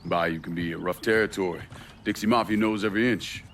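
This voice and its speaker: A man's voice: deep voice, a little fast, fairly bright tone, a little hiss or hum. A man playing a hard, terse, vengeful crime boss in a video game, with a blunt, cold delivery. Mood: dry. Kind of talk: video game cutscene dialogue.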